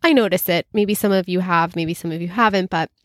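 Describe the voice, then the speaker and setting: A woman's voice: on the low side, a little fast, dry recording. A woman hosting her podcast in a chatty, enthusiastic way, her voice a little nasal and scratchy as she recovers from illness. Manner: chatty and enthusiastic, with fillers like "you know". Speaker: podcast host and business coach. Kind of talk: casual solo podcast talk.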